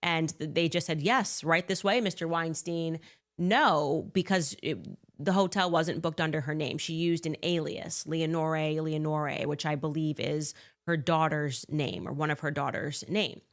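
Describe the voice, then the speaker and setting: A woman hosts a commentary monologue in a low-pitched voice. She talks with a sarcastic, incredulous edge, throwing in rhetorical asides between points. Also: fairly even intonation, measured pace. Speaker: podcast host.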